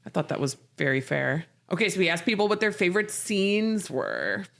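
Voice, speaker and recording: A woman's voice: lowish voice, sing-song, moderate pace, gravelly, fairly bright tone. A woman hosting a podcast, chatting casually and informally about books. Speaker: podcast host. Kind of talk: casual book-discussion chat.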